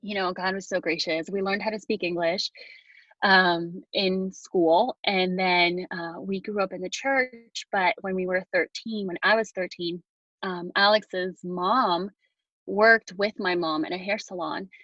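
A woman's voice: fairly low pitch, steady tone, unhurried, a bit bright, no echo, highs cut off. A woman in her thirties from Venezuela, a podcast guest telling her story casually with plenty of fillers and restarts. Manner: chatty, many fillers and restarts. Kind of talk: casual conversation, personal story.

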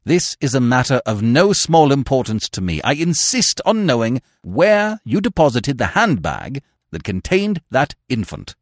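A man voicing an earnest, excitable young gentleman in a storybook reading. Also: medium pitch, a little slow, hardly any pauses, dry recording, clean studio sound, voice pushed up. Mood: insistent. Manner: earnest, insistent.

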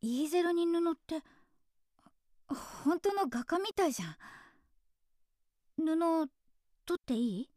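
A woman acting a frank, warm-hearted teenage girl, a loyal best friend, with expressive, open delivery in a Japanese radio drama. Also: very high-pitched, slow pace, long pauses, dry recording, exaggerated voice. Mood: amazed. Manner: expressive, plain-spoken, emotionally open. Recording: studio drama with music underneath.

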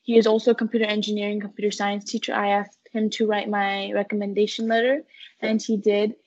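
A woman's voice: medium pitch, flat delivery, fairly quick, darkish tone, lo-fi sound. A young woman, earnestly answering questions in a conversational interview. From United States-born, living in Canada. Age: teens to young adult. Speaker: interview guest, student intern.

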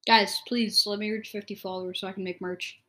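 A woman's voice: medium pitch, steady tone, a little fast, bright, forceful tone. Casual, dismissive female reaction creator. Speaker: video creator reacting to game voice lines. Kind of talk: casual reaction commentary, guessing aloud.

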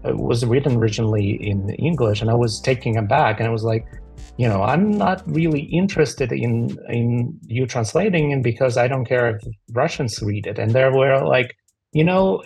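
A man's voice: medium pitch, gravelly, darkish tone, husky. A man from Ukraine, a podcast co-host, speaking earnestly in conversation and telling stories with some hesitation.

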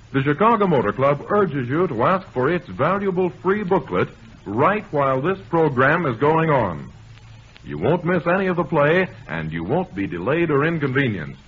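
A man announcing formally and with measured delivery, in the style of 1930s radio. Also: mid-range voice, breathy edge. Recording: muffled, hissy old radio broadcast.

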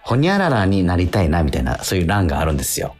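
A middle-aged man co-hosting a radio show, chatting casually in an animated, hesitant and self-deprecating way. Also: sits low, rapid-fire. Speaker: radio show co-host, stage actor. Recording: music bed under the voice.

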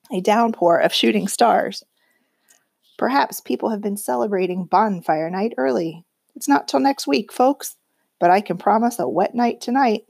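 A woman's voice: medium pitch, gravelly, darkish tone, no echo, voice pushed up. A woman voicing a chatty male TV weatherman in a read-aloud story, folksy and jokey.

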